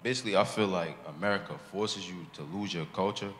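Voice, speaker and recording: Young guy, real low voice, calm and thoughtful, big hall echo. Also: fast talker. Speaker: discussion participant. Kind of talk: casual group discussion. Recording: large hall reverb, faint noise.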